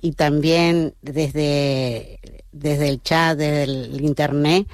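A woman in her fifties, a grandmother, speaking as a radio guest in a calm, measured way. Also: low voice, no room sound, clean studio sound.

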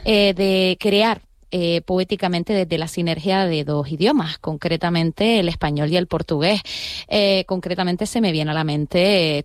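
A woman hosting a radio interview speaks in a slightly low voice. It sounds dry and close. She murmurs assent often and strings together long questions with hesitant "eh" pauses. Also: no room sound. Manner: attentive, frequent affirming murmurs, long elaborate questions with "eh".

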